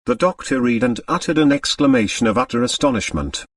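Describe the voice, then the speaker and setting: A man reads a novel aloud as an audiobook narrator. His delivery is expressive, with wide melodic movement. The recording is dry and sits slightly back from the microphone. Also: medium pitch, a little fast, no echo, distant mic. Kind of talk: expressive scripted story narration.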